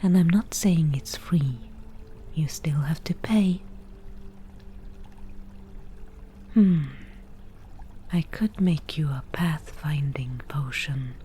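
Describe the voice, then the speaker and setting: Lady doing a witch in the woods, asmr whispering, dry and teasing, real slow, faint bg noise. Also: low-pitched, mellow. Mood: grudging, wry. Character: wry, teasing forest witch brewing potions. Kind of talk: ASMR roleplay, softly whispered.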